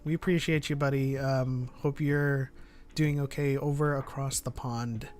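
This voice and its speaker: A man's voice: mid-range voice, steady tone, unhurried, a little mellow. A man hosting a casual livestream chat, reading out and answering viewer comments. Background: music.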